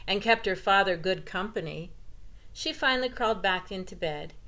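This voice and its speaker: A woman's voice: mid-range voice, lively intonation, moderate pace, pushed and bright. A woman reading a children's story aloud and chatting simply and expressively to young listeners.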